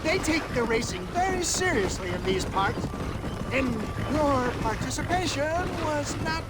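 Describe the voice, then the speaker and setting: An actor playing a theatrical old swindler in a video game cutscene, speaking in a florid, pompous and gleeful way. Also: male voice, extremely high pitch, expressive, unhurried, very noisy. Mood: theatrical, enthused.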